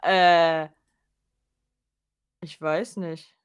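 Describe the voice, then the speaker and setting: A woman's voice: deep voice, little pitch movement, drawn-out words, smooth, muffled recording. A woman in an informal podcast conversation, chatting casually about an audio drama. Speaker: podcast co-host.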